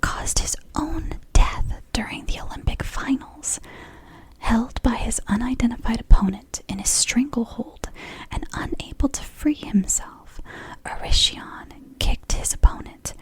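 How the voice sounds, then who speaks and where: A woman's voice: fairly high pitch, a little slow, rough recording. A woman in her thirties reading aloud in an ASMR whisper, with chatty asides and apologies, her throat sore from whispering. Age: 30s. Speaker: ASMR creator. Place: home.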